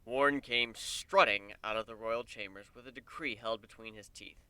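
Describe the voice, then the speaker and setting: A man narrating a story as a dramatic reading, expressive and deliberate in his telling. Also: on the low side, smooth, no room sound.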